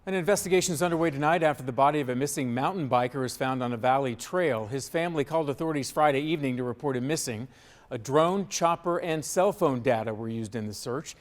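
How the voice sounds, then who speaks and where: A man with an American accent reading scripted local news as a television anchor in a studio. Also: a little high.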